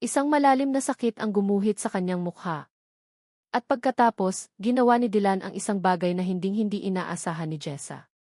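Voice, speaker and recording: A woman's voice: mid-range voice, a little fast, a little mellow, no echo. Woman narrating a dramatic love story, dry sound.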